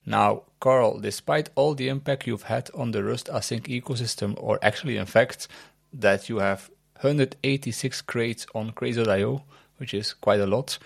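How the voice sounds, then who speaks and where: A man's voice: medium pitch, vocal fry, no room sound, clean studio sound. A man hosting a tech podcast, chatting loosely and asking questions with the odd pun. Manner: chatty, loose phrasing, playful puns. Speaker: podcast host. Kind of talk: casual interview chat.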